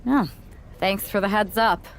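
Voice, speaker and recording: A woman's voice: mid-range voice, unhurried, slight background noise, lightly acted. A woman playing a guarded team-leader wrestler in a video game, speaking in a casual, sardonic way. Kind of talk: scripted video game cutscene dialogue.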